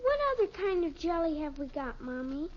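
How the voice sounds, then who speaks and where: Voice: high-pitched, big pitch swings, moderate pace. A performer playing a literal-minded, pestering little girl in a 1950s radio sitcom, whiny and childish. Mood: innocent. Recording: muffled vintage radio recording.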